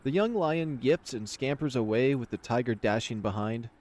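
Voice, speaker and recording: A man's voice: medium pitch, expressive, moderate pace, a little mellow. A man reading scripted fantasy story narration as the narrator of a visual novel.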